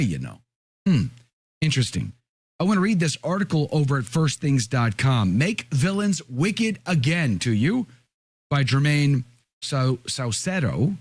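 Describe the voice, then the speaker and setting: A hoarse, raspy man hosting a podcast, reading an article aloud in a measured, deliberate way with his own remarks. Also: mid-range voice, no room sound. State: hoarse from a cold. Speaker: podcast host.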